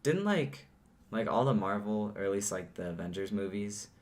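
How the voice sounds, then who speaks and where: A teenage male podcast co-host at home, rambling with lots of fillers, his voice not deep. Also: lively intonation, normal speed. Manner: rambling, filler-heavy, laughs often. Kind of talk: casual chat.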